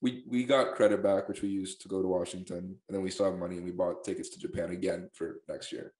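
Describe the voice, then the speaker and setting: Laid-back young man, low voice, echoey room. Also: fairly even intonation, quick pace, darkish tone. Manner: laid-back, swears, laughs easily. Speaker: podcast host. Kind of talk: casual chat.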